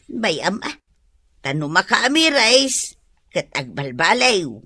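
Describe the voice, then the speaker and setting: A woman's voice: mid-range voice, big pitch swings, slow pace, pushed and bright, breathy edge, heavily acted. A woman playing a middle-aged, chatty, exclamatory mother with an Ilocano Filipino accent in a comedy radio drama. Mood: exasperated. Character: middle-aged gossipy mother, exasperated and animated.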